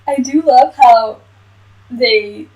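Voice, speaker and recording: High-voiced woman, slightly off-mic, bantering. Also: very slow, dark tone, distant mic, poor audio. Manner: informal, slangy interjections. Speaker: podcast co-host. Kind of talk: casual reactive banter. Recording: off-mic with some background noise.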